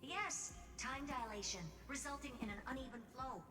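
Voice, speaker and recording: An impatient young female genius snaps out orders in a high, brisk voice. She sounds distant and roomy, with game music playing loudly underneath. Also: lively intonation. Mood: urgent. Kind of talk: game voice acting.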